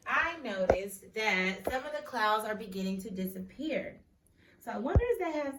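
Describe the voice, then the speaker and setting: A woman teacher reading a picture book aloud expressively, pausing to question and explain in an instructive, emphatic way. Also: mid-range voice. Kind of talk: expressive story read-aloud with questions to children. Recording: echoey room, distant mic, faint noise.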